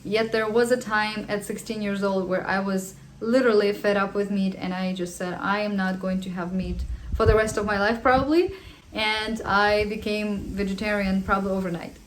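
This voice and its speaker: A woman in her thirties who grew up in Ukraine speaks English with a Ukrainian accent, Russian being her first language. She talks in a chatty, earnest way with the odd filler, answering viewer questions in a casual vlog. Her pitch sits in the middle of the range. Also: steady tone, moderate pace, a little hiss or hum, low-quality recording. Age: 30s. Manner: chatty and earnest, with the odd filler and slightly non-native phrasing. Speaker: vlogger. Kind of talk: casual Q&A monologue.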